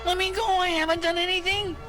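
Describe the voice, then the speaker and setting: A man's voice: very high-pitched, level tone, brisk, music in the background. A man playing a fussy, self-satisfied eccentric inventor villain in a science fiction audio drama, prim and theatrical. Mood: protesting.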